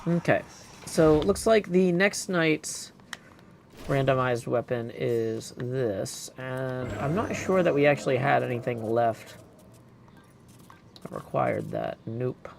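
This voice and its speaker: A man's voice: medium pitch, takes it slowly. A man giving casual live commentary as he streams a video game. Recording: clear background noise.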